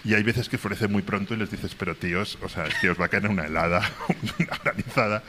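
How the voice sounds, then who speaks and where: A man talks easily in a casual conversation. He tells relaxed anecdotes and drifts along one thought to the next. Faint ambience hums behind him. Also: on the low side, quick pace, slight background noise.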